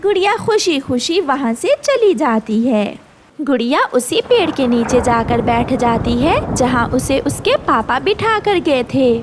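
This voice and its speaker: A woman's voice: high-pitched, lively intonation, unhurried, noisy background. A woman reading scripted narration for a children's cartoon story.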